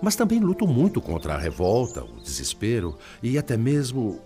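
An actor with a Brazilian accent playing a weary, self-doubting spirit in a radio drama, earnest and melancholy in delivery. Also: male voice, medium pitch, huge pitch swings, normal speed, warm tone, faint music behind. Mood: troubled, frustrated.

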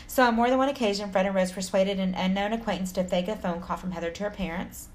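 A woman's voice: mid-range voice, fairly even intonation, fast, slight background noise. A woman from Texas with an American accent, co-hosting a podcast, reading a story in an informal way with casual asides and stumbles. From Texas, United States. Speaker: true crime podcast co-host.

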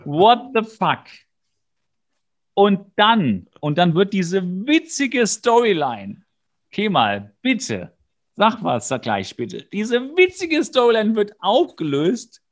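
A man with a slightly high-pitched voice co-hosts a casual podcast. He retells a TV episode with comic animation, breaking into exasperated, slangy outbursts. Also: big pitch swings, slow. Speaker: podcast co-host. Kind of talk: casual comedic episode recap.

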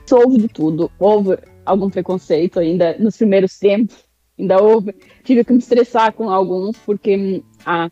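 A woman in her mid-thirties from Brazil, with a Brazilian accent tinged by European Portuguese, speaking as a guest in a remote interview in a reflective, halting way with restarts. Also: mid-range voice, mellow, dry recording, lo-fi sound. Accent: Brazilian with European Portuguese touches. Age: mid-30s.

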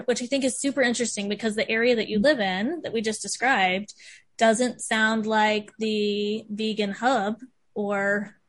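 A woman hosting a podcast in casual chat, gushing and chatty, with plenty of "like" and "um" and quick laughs. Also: a little high. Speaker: podcast host.

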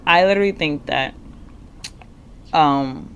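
A woman's voice: sits low, slow, fairly bright tone, slight background noise. A woman in casual chat as a podcast co-host, rambling and joking, often correcting herself mid-sentence. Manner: rambling, jokey, self-correcting.

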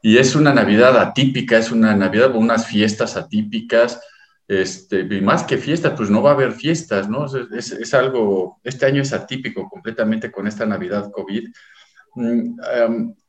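A man's voice: fairly low pitch, moderate pace. A Mexican man in his forties, joking in a casual panel chat with many fillers and hesitations. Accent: Mexican Spanish. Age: 40s. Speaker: co-host. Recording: echoey room.

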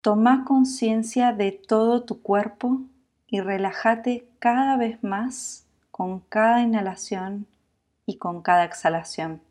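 A woman with a Latin American Spanish accent guiding a sleep meditation in a calm, soothing, unhurried manner, leaving long pauses. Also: a little high. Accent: Latin American Spanish with voseo. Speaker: yoga nidra meditation guide.

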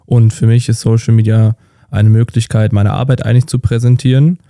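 Flat-toned young man in his twenties. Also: mid-range voice, fairly quick, no echo, clean studio sound. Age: 20s. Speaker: videographer, podcast guest. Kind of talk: casual podcast talk.

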